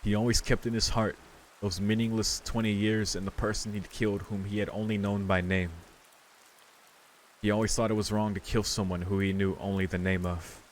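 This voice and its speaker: A man's voice: lowish voice, level tone, normal speed, slight background noise. A man streaming a visual novel, reading its narration aloud with casual commentary, with rain sounds from the game behind him.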